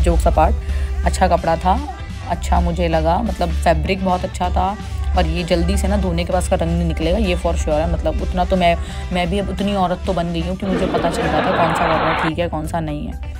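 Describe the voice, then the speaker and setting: Chatty woman vlogging with phone in hand. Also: fairly low pitch. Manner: chatty, mixes English into Hindi, says "yaar" often. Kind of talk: casual vlog chat. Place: home, then an outdoor street market. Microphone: phone held in hand.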